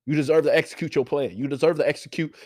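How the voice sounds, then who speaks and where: A man's voice: fairly high pitch, fairly even intonation, rapid-fire, a little mellow. A young man with a stuffy, congested voice hosts a motivational podcast, talking with lots of energy and repeating his phrases like a preacher. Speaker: podcast host.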